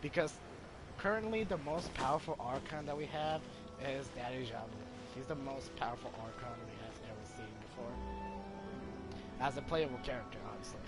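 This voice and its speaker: A man's voice: medium pitch, normal speed, over loud music. A man casually musing and rambling as he comments over his gameplay. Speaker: gamer.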